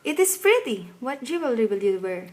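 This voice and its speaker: A woman's voice: a little high, big pitch swings, moderate pace, a little mellow. An actress playing a blunt, disapproving woman with a tart, matter-of-fact manner in a radio play. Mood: brisk interest. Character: blunt, disapproving, needling woman, secretly envious.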